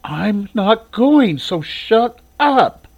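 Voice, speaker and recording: A man voicing a sulky, stubborn young girl who whines slowly and refuses everything. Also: high-pitched, big pitch swings, a little mellow, voice pushed up, heavily acted. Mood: sulky, whiny. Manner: whiny, drawn-out.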